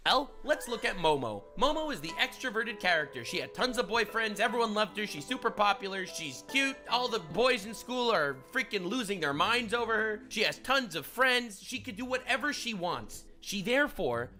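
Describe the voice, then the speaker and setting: A man's voice: sits high, sing-song, normal speed, hardly any pauses, pushed and bright, soft background music. A man giving earnest, explanatory narration for a scripted video essay.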